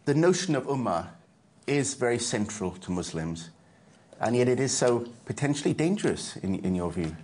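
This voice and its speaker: A man narrating a documentary and putting probing questions to interviewees in a measured way. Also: mid-range voice, very animated, darkish tone.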